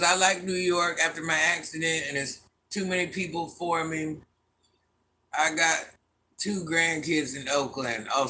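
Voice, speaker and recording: Middle-aged woman w/ a deep smoky voice, sassy n blunt, reading chat live, bright edgy tone. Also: a little hiss or hum, poor audio. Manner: blunt, sassy, talks back to commenters. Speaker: livestream host reading chat comments.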